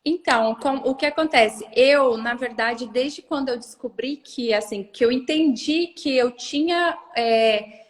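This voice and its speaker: High-voiced Brazilian woman in echoing room. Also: measured pace. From Brazil, lives in Rondônia. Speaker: interview guest. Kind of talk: casual chat. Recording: reverberant room with long echo.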